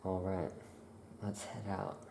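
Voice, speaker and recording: A soft-spoken ASMR roleplayer talking gently and in a hushed, unhurried way as a witch shopkeeper. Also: low-pitched, little pitch movement, vocal fry, a little hiss or hum.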